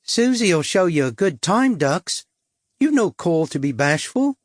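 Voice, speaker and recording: A man's voice: high voice, sing-song, measured pace, no echo, studio quality, voice pushed up. A man voicing a brassy, flirtatious London woman with a Cockney accent who drops her H's. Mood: coaxing.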